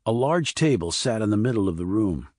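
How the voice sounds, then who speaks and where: Low-voiced man narrating a thriller. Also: big pitch swings, fairly quick, a little mellow, dry recording, studio quality. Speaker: audiobook narrator.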